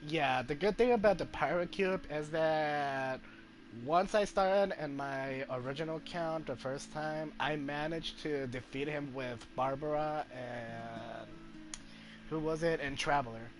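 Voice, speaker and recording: A man giving casual gameplay commentary, halting and thinking aloud as he plays. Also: a little high, a little hiss or hum. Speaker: gamer commentating on own gameplay. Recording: game music behind the voice.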